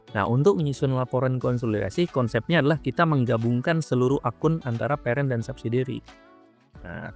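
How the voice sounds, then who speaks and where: A man gives an informal tutorial at a middling pitch. He talks conversationally and walks through things step by step, like a teacher checking that learners follow. Guitar music plays behind his voice. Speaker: accounting teacher. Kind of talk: explanatory tutorial lecture, informal.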